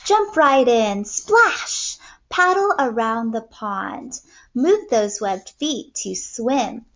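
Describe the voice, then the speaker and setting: A young woman teacher reading aloud to kindergartners in an expressive storytelling way, recorded indoors on a computer mic. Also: on the high side, drawn-out words, highs cut off. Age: young adult. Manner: expressive, clearly paced storytelling for children. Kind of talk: read-aloud lesson for young children. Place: small room at home, indoors.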